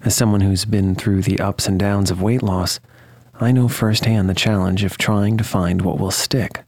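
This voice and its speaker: A man's voice: sits low, steady tone, normal speed, darkish tone, no room sound. A man delivering a scripted podcast advertisement as the ad reader.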